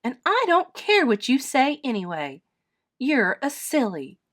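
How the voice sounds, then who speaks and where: A woman's voice: high-pitched, sing-song, slow, voice pushed up, exaggerated voice. A woman voicing an emotional, lonely little girl from a storybook in an earnest, childlike manner. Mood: defiant.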